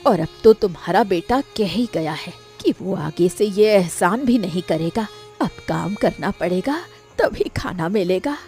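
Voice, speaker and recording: A woman's voice: medium pitch, animated, unhurried, few pauses, a little mellow, faint music behind. A woman playing an anxious, sorrowful elderly village mother in a cartoon story, with a plaintive, lamenting manner. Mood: hurt, sorrowful. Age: older. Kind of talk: Hindi moral cartoon story dialogue.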